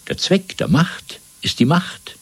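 A man playing a cold, fanatical party interrogator in a German radio drama, lecturing deliberately and domineeringly with a husky, breathy voice. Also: high voice, big pitch swings, no room sound, full character voice. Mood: fervent, commanding. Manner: didactic, deliberate, swelling from hushed to emphatic.

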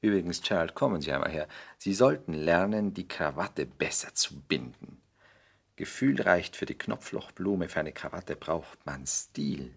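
Ein Schauspieler spielt in einem Hörspiel der 1960er einen zynischen englischen Aristokraten, geschliffen, weltgewandt und herablassend. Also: male voice, low voice, expressive, a little slow, vocal fry, husky, heavily acted. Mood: suave, persuasive. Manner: polished, epigrammatic, worldly, condescending. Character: suave, cynical English aristocrat dandy, lecturing a young man. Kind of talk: 1960s German radio play acting.

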